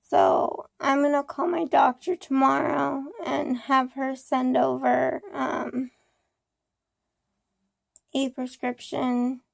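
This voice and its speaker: A young woman with a cold, hoarse, rambling about her day in a casual personal vlog with many ums and restarts. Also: high voice, level tone, slow pace, no echo. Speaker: vlogger.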